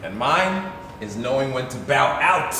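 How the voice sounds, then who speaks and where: A man's voice: medium pitch, sing-song, measured pace, sharp tone, exaggerated voice. An actor playing an envious, dryly witty adult son in a stage play, sardonic yet dutiful to his father. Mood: sardonic, resentful. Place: theatre stage, recorded from a distance. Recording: echoey theatre stage from far off, faint noise.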